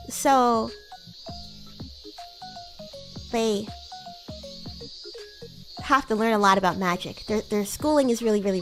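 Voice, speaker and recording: Woman playing a high-voiced bratty demon girl. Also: a bit bright. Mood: playful explaining. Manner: playful, giggly, rambling, teasing. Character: bratty, teasing young female demon classmate. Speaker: VTuber streamer. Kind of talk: improvised in-character roleplay livestream chat.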